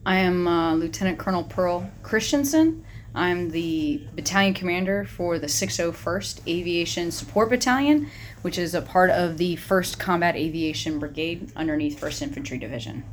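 A middle-aged woman army battalion commander answering interview questions in a measured, composed way. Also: lowish voice, a little hiss or hum.

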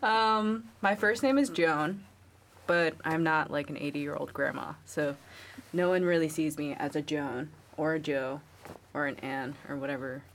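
A woman's voice: deep voice, a little slow, a little hiss or hum. A young woman from New York, a guest in a casual interview, talking about herself in a chatty, wry way with many fillers. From New York, USA. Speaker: guest, Marine Spanish linguist.

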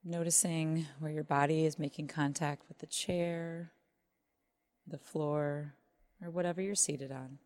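A woman leading a guided body scan meditation in measured phrases with long pauses. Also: sits low, steady tone, a little mellow. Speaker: meditation teacher.

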